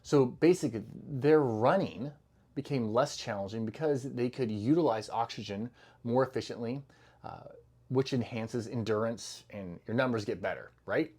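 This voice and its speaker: A man's voice: medium pitch, moderate pace, a little mellow, slightly rough. A middle-aged man giving a loose, conversational health talk to camera, full of fillers and restarts, with the easy manner of a clinician speaking to patients. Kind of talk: casual educational talk to camera.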